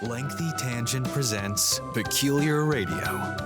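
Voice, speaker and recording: A man's voice: mid-range voice, expressive, slow pace. A man announcing the opening credits of a show in a scripted introduction. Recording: loud music behind the voice.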